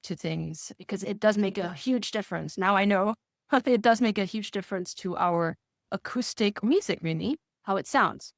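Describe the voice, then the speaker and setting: A woman's voice: medium pitch, normal speed, vocal fry, no echo, clean studio sound. A woman answering interview questions conversationally, with an enthusiastic manner and frequent chuckles as she explains things. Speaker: music course student giving a testimonial.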